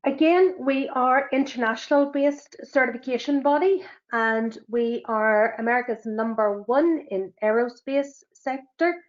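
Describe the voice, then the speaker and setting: A middle-aged woman with a Northern Irish accent presenting a business webinar, talking quickly with frequent fillers. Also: a little high. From Northern Ireland. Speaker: sustainability assessor and webinar presenter. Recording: dull, lo-fi webinar audio.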